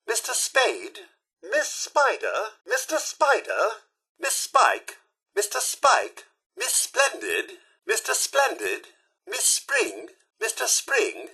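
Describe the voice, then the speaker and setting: A courteous British robot butler for a video game. His delivery is prim and formal, swinging wildly in pitch at an unhurried pace. The tone is sharp and gravelly, with every word carefully enunciated. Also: heavily acted. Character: polite, prim robot butler, male-voiced, eager to serve. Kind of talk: video game voice lines, names called out formally.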